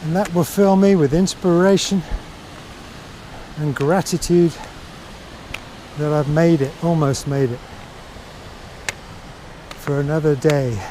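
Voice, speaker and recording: Mellow-voiced man vlogging on a hike. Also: a little high, steady tone. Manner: reflective, unhurried, halting. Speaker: hiker filming his own walk. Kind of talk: casual walking vlog narration. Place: outdoors on a mountain trail. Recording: noisy outdoor camera audio.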